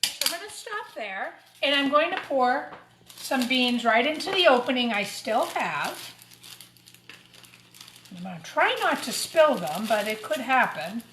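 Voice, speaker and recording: A woman's voice: high-pitched, very animated, a little slow, fairly bright tone. A woman teacher giving an informal craft demonstration for young children, talking through each step as she works. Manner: talks through each step while working. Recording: roomy echo, clear background noise and clatter.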